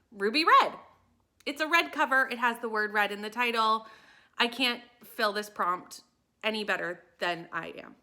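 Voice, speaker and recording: A woman's voice: on the high side, sharp tone. A woman talking casually and enthusiastically to camera as a book vlogger, with emphatic delivery.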